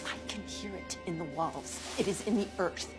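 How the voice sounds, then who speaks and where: A woman's voice: on the low side, normal speed, natural voice. An actress playing a frightened, haunted young mother in a horror film trailer, desperate and insistent. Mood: haunted, urgent. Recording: film trailer under loud music. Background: loud music.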